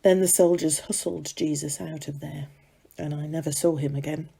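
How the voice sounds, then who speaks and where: A woman reading a dramatic monologue as a gossipy serving maid of ancient times, confiding and conversational, with dramatic pauses. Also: deep voice, fairly even intonation, gravelly, warm tone, breathy edge, full character voice. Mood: sombre, intent.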